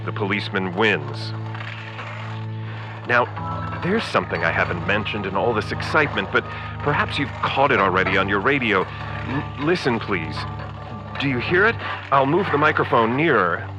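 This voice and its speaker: An actor playing an earnest, flustered radio reporter on the scene in a comedic 1930s-style radio drama. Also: male voice, lowish voice, expressive, normal speed, long pauses, natural voice. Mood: dramatic, urgent. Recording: music behind the voice.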